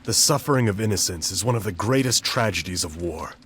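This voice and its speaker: An actor playing a reflective young pianist in a video game cutscene, speaking in a thoughtful, soft-spoken way. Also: male voice, fairly low pitch, lively intonation, a little fast, a little hiss or hum.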